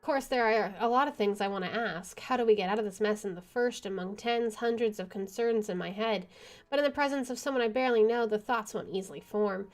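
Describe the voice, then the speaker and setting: A woman's voice: medium pitch, fairly quick. A woman streaming a video game, reading its story narration aloud and adding casual asides.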